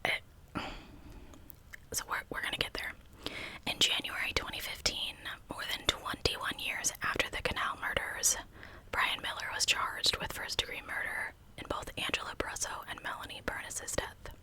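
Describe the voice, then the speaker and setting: A woman whispering a true crime story in ASMR style as a channel host, with conversational asides to listeners. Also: moderate pace.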